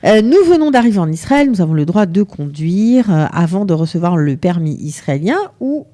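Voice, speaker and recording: A woman hosting an interview show, briskly leading the guest with questions and recapping his answers. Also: fairly low pitch, big pitch swings, warm tone. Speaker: radio show host.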